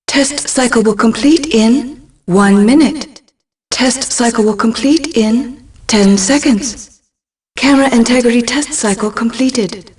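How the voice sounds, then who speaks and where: A woman voicing an impassive security system computer in a video game, making measured, impersonal announcements. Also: on the high side, a little mellow, far from the mic.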